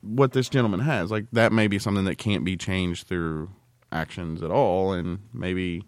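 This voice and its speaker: A man with a fairly low voice talks like a casual podcast co-host. He rambles and halts through his explanations in a joking way. The sound is dry, with very little room. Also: moderate pace, no room sound.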